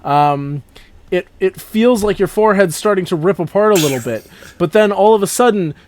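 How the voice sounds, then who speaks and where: A man's voice: high voice, expressive, moderate pace, a little hiss or hum. A man acting as game master, narrating a tabletop game in a casual, descriptive way.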